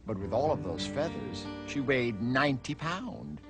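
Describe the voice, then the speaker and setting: Guy reading a kids rhyming storybook, slow and super expressive, music behind. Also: medium pitch, gravelly. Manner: theatrical, dramatic emphasis. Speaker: storybook narrator. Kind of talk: expressive children's read-along narration of rhyming verse.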